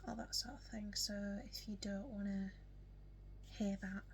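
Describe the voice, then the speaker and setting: A nineteen-year-old woman with a strong Yorkshire accent, chatting casually to camera in a get-to-know-me vlog, often soft and whispery with lots of ums, hiccuping now and then. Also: mid-range voice, little pitch movement, a little slow, a little hiss or hum. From West Yorkshire, England. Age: young adult. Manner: soft, frequently whispery delivery with many ums. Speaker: vlogger.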